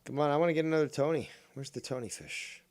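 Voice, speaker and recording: A man's voice: on the high side, quick pace, a little mellow. A man livestreaming a game with rambling live commentary, theatrical and mock-villainous, crude and full of swearing. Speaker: livestreamer playing a fishing game.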